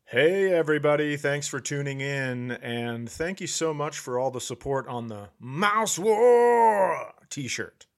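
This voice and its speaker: A man's voice: on the high side, lively intonation, slow pace, creaky. A man from Minnesota hosting a podcast with casual, teasing comedic banter. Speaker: podcast host.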